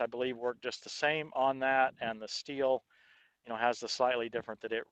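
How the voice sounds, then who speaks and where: Bright-voiced man giving a product review. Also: mid-range voice, dry recording, rough recording. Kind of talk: casual explanatory product review. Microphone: intercom headset microphone.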